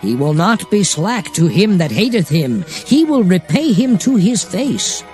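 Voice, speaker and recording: A man playing a solemn prophet exhorting his people, declaiming archaic scripture with deliberate phrasing in a dramatized Bible reading. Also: high-pitched, big pitch swings, a little mellow. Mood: solemn, promising. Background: music.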